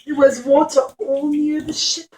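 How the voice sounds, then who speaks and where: A woman's voice: sits high, fairly even intonation, moderate pace, a little mellow, echoey room. A woman telling a story to young children as a puppeteer, explaining things simply and with repetition.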